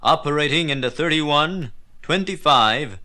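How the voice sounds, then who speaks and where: A male overseas service announcer with a bright, forceful voice and animated intonation. He reads formal station identifications on a restored 1960s shortwave recording. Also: a little high, unhurried.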